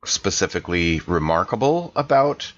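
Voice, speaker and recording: A man's voice: low voice, big pitch swings, moderate pace, a bit bright. A man playing a tabletop role-play game, asking questions hesitantly. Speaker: player. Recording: dull lo-fi mic.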